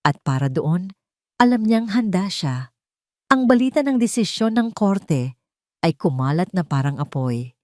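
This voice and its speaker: A Filipino woman narrates a scripted drama in a fairly low voice. Her storytelling is measured and solemn. The recording is dry and close, with very little room sound. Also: very animated, a little mellow, no echo.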